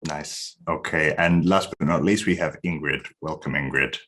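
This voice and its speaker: A man's voice: rumbling low, moderate pace, a bit bright. A man moderating a citizens' panel discussion, rambling with many fillers and restarts.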